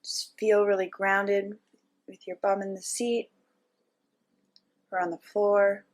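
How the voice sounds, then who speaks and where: A woman narrating a guided meditation as a teacher, giving gentle step-by-step instructions with frequent pauses. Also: medium pitch, little pitch movement.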